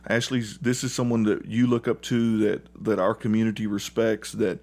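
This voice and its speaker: A middle-aged man with a fairly low voice talks in a conversational interview. He is earnest and plain-spoken, telling family stories the way a parent and coach would. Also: flat delivery, normal speed, a little mellow.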